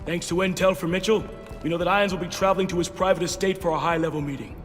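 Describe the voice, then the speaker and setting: An actor playing a determined special-forces team leader in a video game, grave and commanding, with clipped military delivery over a cinematic score. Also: male voice, high-pitched, fairly even intonation, racing, a bit bright, faint music behind.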